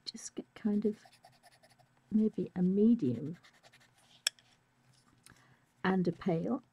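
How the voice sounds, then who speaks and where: A woman demonstrating a colouring technique live, a bit croaky from a chest infection, speaking softly and musing, often trailing into whispers. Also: mid-range voice, expressive, slow pace, muffled recording. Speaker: art tutor.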